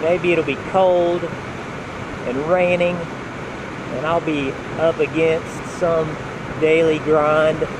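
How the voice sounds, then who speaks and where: Slow-talking man riding a motorcycle. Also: a little high. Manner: relaxed, unhurried, talks while riding. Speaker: motovlogger. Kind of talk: helmet-cam ride narration. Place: on a motorcycle outdoors. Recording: wind and engine noise. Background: road and wind noise.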